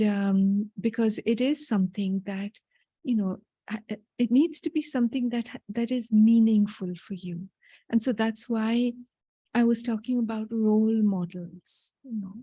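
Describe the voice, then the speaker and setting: Woman, from Italy, mellow voice, dry close sound, thoughtful, reassuring guest speaker. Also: fairly high pitch, no echo. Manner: thoughtful, reassuring, often adds "you know". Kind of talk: answering audience question in a spiritual talk.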